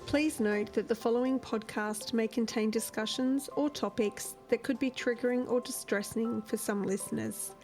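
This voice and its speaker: A woman hosts a podcast in a calm, supportive way, reading a scripted intro before turning to her guest. Her voice sits a little high. Music plays clearly beneath her speech. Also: moderate pace, hardly any pauses. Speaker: podcast host. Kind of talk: scripted intro then interview.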